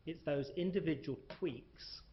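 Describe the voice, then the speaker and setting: A man's voice: on the high side, a little slow, heavy background noise. A man from South Africa speaking on a panel discussion, emphatic and often restarting his sentences. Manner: emphatic, restarts, hesitant fillers. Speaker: panel speaker, corporate reporting. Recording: muffled lo-fi recording.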